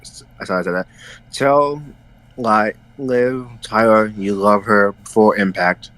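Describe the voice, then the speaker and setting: A man's voice: lowish voice, fairly even intonation, unhurried, a bit bright, rough recording. A man in a casual group reading, giving brief replies and reading out short prompts.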